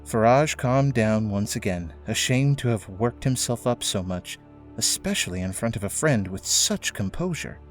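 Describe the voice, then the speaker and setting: Male narrator, lowish voice, scripted storytelling for drama, soft music bed. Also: animated, normal speed. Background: faint music.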